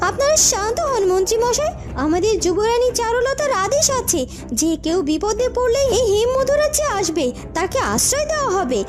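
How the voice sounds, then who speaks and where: A woman playing a kind young heroine in an animated fairy tale, earnest and reassuring. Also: very high-pitched, brisk, over music.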